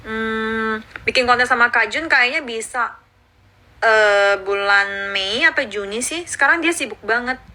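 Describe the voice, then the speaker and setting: A woman in her thirties who grew up in Indonesia, chatting casually on a phone livestream and answering viewer comments, cheerful and quick to laugh. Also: a little high, pushed and bright, a little hiss or hum. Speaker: livestreaming YouTuber. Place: outdoors or at home in Seoul.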